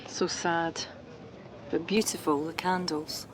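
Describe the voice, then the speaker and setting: An actress playing a guarded, uneasy police detective in understated radio drama dialogue. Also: female voice, on the low side, steady tone, takes it slowly, noisy background. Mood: hushed, wary.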